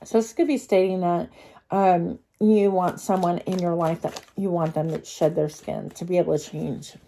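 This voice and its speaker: A woman's voice: fairly low pitch, fairly even intonation, moderate pace, creaky, warm tone, slight background noise. A woman with a cold and a congested voice, giving a casual, chatty tarot reading to her viewers with frequent fillers and restarts. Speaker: tarot card reader.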